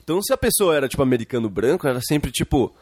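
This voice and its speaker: A man's voice: mid-range voice, very fast, no echo. Man, young, Brazilian Portuguese accent, podcast co-host, slangy storyteller, dry close sound. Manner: animated storyteller, lots of slang and fillers. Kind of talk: casual chat.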